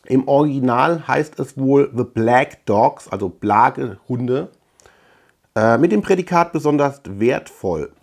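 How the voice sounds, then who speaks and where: A middle-aged man with a mid-range voice talks casually to the camera as the host of a film review. His delivery is halting, and he often searches for the right word. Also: mid-range voice, expressive, gravelly. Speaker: host of a film collection channel. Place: small room lined with shelves of collectibles.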